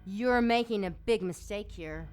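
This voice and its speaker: A woman's voice: mid-range voice, a little slow, a little mellow, clean tone, soft background music, lightly acted. A woman playing a brash, sarcastic succubus who talks back defiantly in an audio drama.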